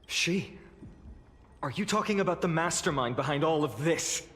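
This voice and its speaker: Stern noble warrior, heavy reverb. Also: male voice, a little high, animated, moderate pace, a bit bright. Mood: indignant. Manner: earnest, formal, firm. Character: noble young male warrior lord, righteous and sternly protective. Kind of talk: dramatic fantasy game voice acting.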